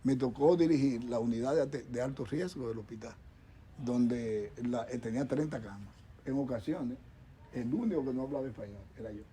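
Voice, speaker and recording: A man's voice: mid-range voice, a little fast, warm tone, a little hiss or hum. A middle-aged man with a Dominican accent, a doctor speaking as a guest on a talk show panel, rambling with many restarts and repeated words. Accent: Dominican Spanish. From Dominican Republic.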